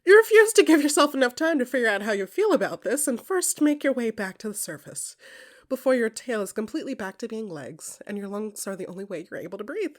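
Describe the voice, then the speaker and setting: A woman narrating scripted second-person fiction in an intimate, dramatic way, with a breathy voice that often drops into whispers and deliberate short phrases. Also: fairly high pitch, big pitch swings. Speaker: podcast creator and narrator.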